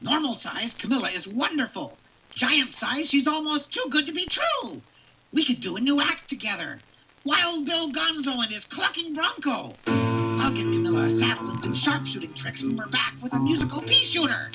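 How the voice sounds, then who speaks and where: A woman's voice: high voice, big pitch swings, normal speed, bright, forceful tone, distant mic, faint music behind, voice pushed up, heavily acted. Gonzo: a woman doing a giddy, gushing puppet man. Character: excitable eccentric male puppet daredevil. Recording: noisy, from off mic.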